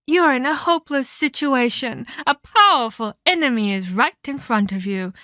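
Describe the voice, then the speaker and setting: A woman's voice: fairly high pitch, fairly bright tone, dry recording, clean studio sound, full character voice. A woman playing a cruel, domineering ancient vampire in a dramatic ASMR roleplay, with a menacing, condescending, theatrical delivery and whispered emphasis. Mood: taunting, commanding. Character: cruel, domineering ancient female vampire scolding her captive pupil.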